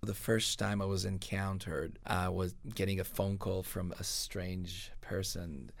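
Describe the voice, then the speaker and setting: Gravelly-voiced man telling stories, dry sound. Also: sits low, steady tone, a little slow, no echo. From Israel. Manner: rambling, full of fillers and self-corrections. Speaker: composer guest. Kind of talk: relaxed interview storytelling.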